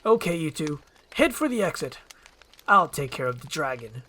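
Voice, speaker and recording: A woman voicing a gruff, grumpy male ogre in a curt, sarcastic manner, reading a screenplay aloud. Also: low voice, sing-song, moderate pace, a bit bright, a little hiss or hum. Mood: commanding.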